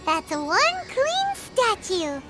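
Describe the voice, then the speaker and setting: A woman voicing a small, chirpy, childlike fairy companion in an animated video game, chatty and friendly, with a squeaky voice. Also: extremely slow, over loud music, heavily acted. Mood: curious, chirpy. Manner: chirpy, exclamatory, refers to self in third person.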